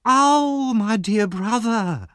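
Man putting on a wailing young woman, very high. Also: animated, very slow, fairly bright tone, smooth, dry recording, studio quality, heavily acted. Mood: mock anguish. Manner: wailing, dramatic. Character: scheming young woman, melodramatically weeping and scolding. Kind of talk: audiobook character reading.

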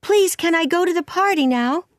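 Lady doing a little storybook girl, high and lively, eager n earnest, always pleading. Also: moderate pace, no echo, studio quality. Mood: pleading, upset. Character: eager, pleading, imaginative young orphan girl. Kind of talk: storybook character voice.

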